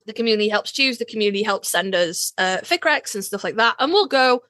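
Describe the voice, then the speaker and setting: A woman's voice: mid-range voice, animated, fast talker, pushed and bright, no echo, studio quality. A woman co-hosting a podcast in casual chat, speaking in an emphatic, informal and playfully blunt way. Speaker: podcast co-host.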